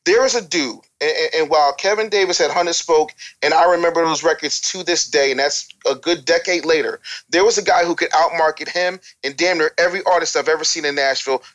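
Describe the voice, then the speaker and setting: This is a man with a slightly high-set voice, speaking as a guest expert in a conversational interview. He is blunt and emphatic, lecturing listeners with candid advice and anecdotes. His language is informal and freely profane. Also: a little fast, few pauses, a bit bright. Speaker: guest expert on music business and promotion.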